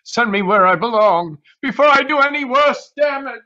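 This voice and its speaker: Gruff tormented colonel in old radio play, tense sharp voice, kinda lo-fi. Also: male voice, very high voice, expressive, moderate pace, full character voice. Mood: bitter, agitated. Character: agitated English colonel who fears he is mad. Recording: dull, narrow online-call audio.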